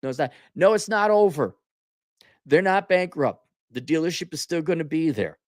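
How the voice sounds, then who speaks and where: Man, livestream host, lively swooping intonation, sarcastic, exasperated, colloquial, unscripted rant, dry close sound. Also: fairly high pitch, moderate pace, no echo. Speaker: online commentary host answering viewer comments. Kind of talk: off-the-cuff opinionated livestream rant.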